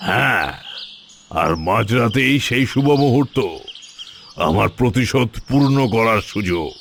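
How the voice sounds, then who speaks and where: A man voicing a scheming, vengeful tantric sorcerer in a cartoon fairy tale, boastful and theatrical in his deliberate delivery. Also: mid-range voice, lively intonation, fairly bright tone, slight background noise. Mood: gloating.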